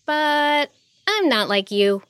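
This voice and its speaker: A woman's voice: sits high, sing-song, slow, heavy background noise, pitched above the performer's own voice, full character voice. A woman voicing a young dragonfly princess in a children's storybook, brave but nervous, speaking in formal, earnest declarations. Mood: firm, forgiving.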